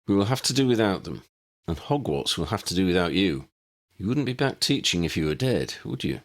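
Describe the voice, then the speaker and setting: Guy doing a wizard hero in a story, earnest and direct, bit urgent, expressive pitch. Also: fairly quick. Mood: firm. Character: decisive, earnest adult male hero wizard.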